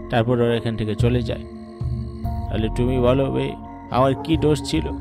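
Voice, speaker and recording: Casting: male actor as a vengeful, aggrieved village ghost, creaky and brooding, horror cartoon, prominent music underneath. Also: medium pitch, natural voice.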